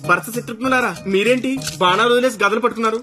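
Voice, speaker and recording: Muddled, rapid, sharp-voiced stage player. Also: male voice, extremely high pitch, soft background music. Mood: confused. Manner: confused, questioning. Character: muddled, chatty stage player in the wrong mythological costume. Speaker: actor in a film comedy scene. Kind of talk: Telugu film comedy acting.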